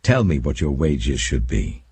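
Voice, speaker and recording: A man voicing a shrewd older father who reasons deliberately, in a dramatic scripture audiobook. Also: extremely low pitch, big pitch swings, darkish tone, slightly rough, no room sound, heavily acted. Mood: welcoming. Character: Laban, older father, shrewd and smooth-talking.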